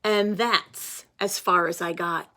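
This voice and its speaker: Slow-reading teacher woman for kids. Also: fairly low pitch. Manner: slow, expressive, addresses children directly. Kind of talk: storybook read-aloud for young children.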